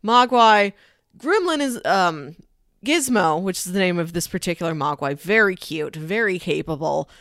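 Low-pitched woman chatting casually, dry close sound. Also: expressive, moderate pace, fairly bright tone, no echo. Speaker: podcast co-host. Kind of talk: casual film discussion.

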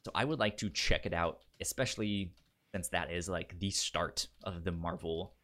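A man chatting casually as a podcast co-host, stuttering on word onsets and often repeating words and syllables in a halting way. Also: deep voice, fairly even intonation, fairly bright tone.

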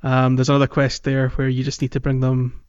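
A man's voice: mid-range voice, completely flat delivery, brisk, a little mellow, clean tone, dry recording. Dull-sounding man, casual podcast banter. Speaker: podcast co-host. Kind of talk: casual gaming podcast chat.

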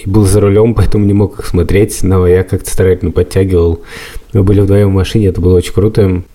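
Low, mellow-voiced middle-aged man chatting. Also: little pitch movement, quick pace. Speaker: podcast host. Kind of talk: casual chat.

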